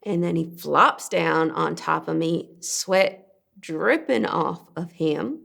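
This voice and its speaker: Young actress as weary abused daughter, gravelly creak, unhurried halting monologue. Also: female voice, sits low, fairly bright tone, full character voice. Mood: halting, pained. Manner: halting, deliberate storytelling. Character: abused daughter, weary and protective, recounting trauma. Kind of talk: dramatic stage-style monologue.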